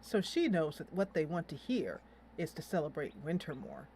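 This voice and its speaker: A woman's voice: low-pitched, wildly swooping pitch, moderate pace, warm tone, slight background noise. A woman hosting a casual let's play, thinking aloud in a playful, giggly way as she comments on the game. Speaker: host of a gaming channel.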